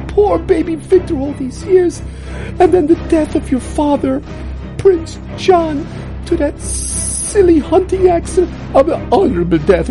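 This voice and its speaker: A haughty noblewoman, played by a woman, speaks in a shrill, high, theatrical voice. Her pitch leaps all over the place, and the tone stays soft and mellow. She is imperious and melodramatic, like a villain in a radio play. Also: low-quality recording. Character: haughty grieving noblewoman, a duchess.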